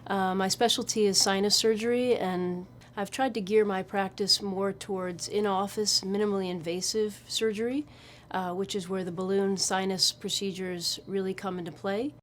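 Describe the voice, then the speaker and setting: A woman in her forties, an ear, nose and throat surgeon, explaining her work in a measured, clinical way to camera. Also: medium pitch, steady tone, a little hiss or hum. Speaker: otolaryngologist. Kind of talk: interview to camera.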